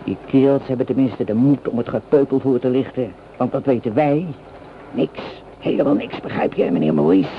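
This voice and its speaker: A man's voice: medium pitch, expressive, moderate pace, gravelly. An actor playing a coarse, sardonic common soldier in a radio play, cursing and resentful of his officers. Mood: bitter, scornful. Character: gruff, hungry, rebellious common foot soldier, embittered against officers. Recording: noisy, dull old radio drama recording.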